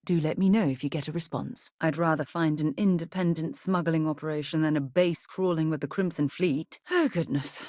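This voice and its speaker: A woman's voice: low voice, fairly even intonation, moderate pace, few pauses, a little mellow, dry recording, clean studio sound, close to an everyday voice. An actress playing an earnest, principled woman space explorer in a video game, speaking in a composed, articulate, rather formal way. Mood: polite, measured.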